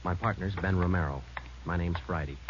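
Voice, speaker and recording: An actor playing a terse, weary homicide sergeant in a radio police drama, clipped and low-key. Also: male voice, a little fast, natural voice. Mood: matter-of-fact. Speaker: narrator and lead actor. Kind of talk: 1940s-50s radio police drama acting. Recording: muffled, noisy old radio recording.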